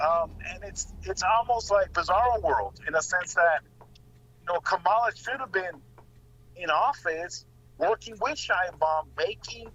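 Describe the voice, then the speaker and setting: A man giving casual political commentary as a guest, blunt and profane, halting and repeating phrases, over lo-fi call audio. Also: high-pitched, expressive, fairly bright tone, slight background noise.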